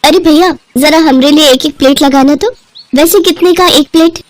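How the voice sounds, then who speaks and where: A woman acts an excitable young rustic customer in a moral tale. Her voice is very high and chatty, gushing as she goes. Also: moderate pace, a little hiss or hum, natural voice. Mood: curious.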